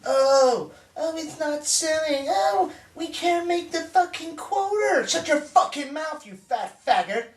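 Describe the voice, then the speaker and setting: A man playing a profane, bullying spoiled son of a crime boss, in a dark comic drama. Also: a little high, moderate pace, close to an everyday voice. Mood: angry, taunting. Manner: profane, aggressive, ranting. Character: foul-mouthed bullying spoiled heir of a crime boss father. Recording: roomy echo, distant microphone.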